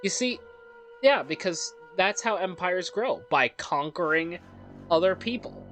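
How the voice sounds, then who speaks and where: A let's play host reads a game's story aloud in a measured, dramatic way and adds offhand, rambling commentary. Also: mid-range voice, animated, fairly bright tone. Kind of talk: reading game story aloud with casual commentary. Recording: music under the voice. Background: game music.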